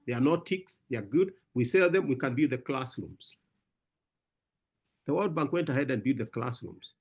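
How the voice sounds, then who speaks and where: A man's voice: mid-range voice, lively intonation, moderate pace, gravelly, darkish tone, slightly rough. A man giving emphatic answers at an online research conference, repeating words as he builds his points and calling the listener brother. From Africa. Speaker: conference speaker answering questions. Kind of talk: animated panel talk.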